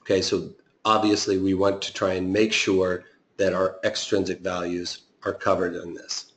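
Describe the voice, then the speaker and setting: Raspy man teaching a live webinar. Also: low voice, flat delivery, moderate pace, fairly bright tone, reverberant. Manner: explanatory, with frequent fillers and restarts. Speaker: options trading instructor.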